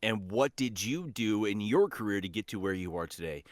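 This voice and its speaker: A man's voice: lowish voice, lively intonation, moderate pace, dry recording. A man chatting casually as a voice actor guest on a podcast, speaking in an enthusiastic, earnest way.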